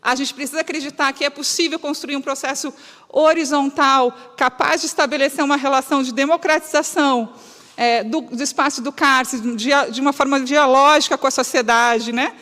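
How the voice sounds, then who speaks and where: A woman's voice: high voice, fairly even intonation, fairly quick, fairly bright tone. A woman with a gaúcho southern Brazilian accent giving an earnest, emphatic semi-spontaneous public talk, often breaking off and restating her phrases. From Rio Grande do Sul, Brazil. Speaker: guest speaker. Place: reverberant hall, live talk to an audience. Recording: echoey hall with long reverb.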